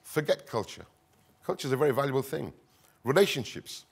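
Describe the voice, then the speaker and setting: A middle-aged man gives a semi-improvised conference talk. His voice sits at an average pitch. He speaks earnestly in short, deliberate phrases, repeating words for emphasis. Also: sing-song, creaky, breathy edge. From northern England. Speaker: conference speaker, counter-extremism expert.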